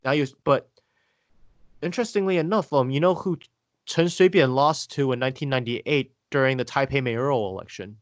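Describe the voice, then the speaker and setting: A man hosting a podcast, explaining history in a steady way and asking his guest leading questions. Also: medium pitch, fairly quick, dry recording. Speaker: podcast host. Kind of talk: conversational history discussion.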